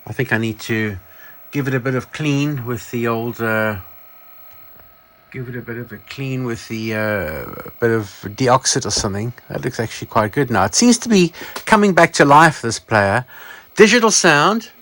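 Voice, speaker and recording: A man's voice: mid-range voice, lively intonation, normal speed, a bit bright. A man casually presenting a piece of vintage electronics, rambling informally and correcting himself as he goes. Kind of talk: casual product show-and-tell.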